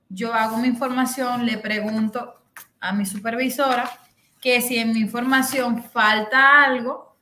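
A woman's voice: fairly high pitch, bright, forceful tone, reverberant. A middle-aged Cuban woman with a Cuban accent, telling a story on a video call and acting out the people in it. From Cuba. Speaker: host. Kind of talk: casual chat on a video call. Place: bedroom, indoors.

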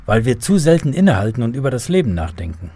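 A man's voice: medium pitch, very animated, fast talker, warm tone, breathy edge. A man playing an earnest believer who patiently explains faith to children in a scripted radio drama.

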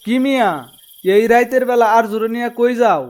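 A man's voice: extremely high pitch, brisk, a little mellow. A man voicing a fussy, irritable village uncle in a comedy cartoon, nagging and snappish, with a colloquial Bangladeshi accent. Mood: uneasy warning.

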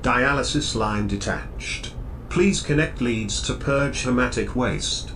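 Medical computer for sci-fi audio drama male performer: impassive, clinical, mid-pitched, slight noise behind. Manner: measured, clinical. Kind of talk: science-fiction audio drama acting.

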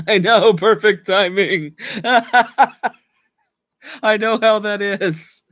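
Slow-talking man on a home webcam, losing his voice. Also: high voice, a bit bright, no room sound, studio quality. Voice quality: slow, halting, with frequent restarts and laughs. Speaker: live stream host. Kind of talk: casual live stream chat with viewers. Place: small home room indoors. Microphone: webcam at desk.

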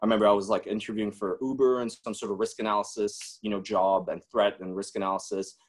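A man's voice: fairly low pitch, steady tone, quick pace. A man in his twenties from the Czech Republic, speaking as a webinar guest in a loose, casual way with many "you know"s and restarts, heard over a video call. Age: 20s.

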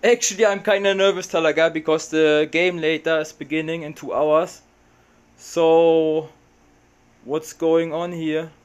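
A young man from Germany with a German accent, talking in an excitable, casual vlog style from a small room, close to the camera. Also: fairly high pitch, a little slow, smooth. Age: young adult. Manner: excitable, mixes in Tagalog words. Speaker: vlogger.

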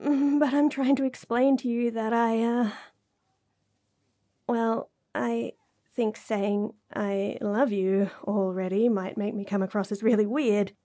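A woman's voice: on the high side, steady tone, slow, mellow. A woman playing a shy, smitten young bunny girl in a romantic audio roleplay, stammering and giggly as she rambles bashfully.

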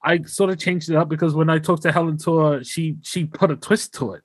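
A man's voice: on the high side, steady tone, a little fast, breathy edge, no room sound. Young male podcast host, enthusiastic, headset on a video call, dry close sound. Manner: effusive, enthusiastic. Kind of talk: casual interview chat. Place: home room, indoors.

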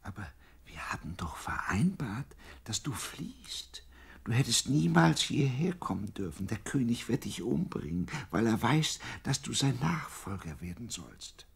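A man's voice: medium pitch, very animated, measured pace, creaky, slightly rough, exaggerated voice. An actor playing an anxious, grave old father in a biblical German radio play. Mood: pleading. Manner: worried, grave.

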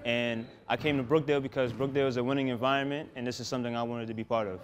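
Fast-talking young man. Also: medium pitch, steady tone, slight background noise. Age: young adult. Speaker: college basketball player. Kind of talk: short interview intro. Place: empty basketball arena.

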